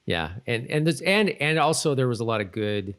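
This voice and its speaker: A man's voice: medium pitch, lively intonation, moderate pace, a bit bright, clean tone. A man co-hosting a podcast in casual chat, talking in a rambling, self-interrupting way. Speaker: podcast co-host. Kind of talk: casual chat reviewing a TV episode.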